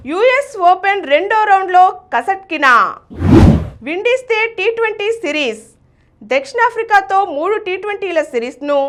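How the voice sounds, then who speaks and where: A woman's voice: very high-pitched, a bit bright. A woman reading scripted news as a bulletin presenter. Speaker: news reader.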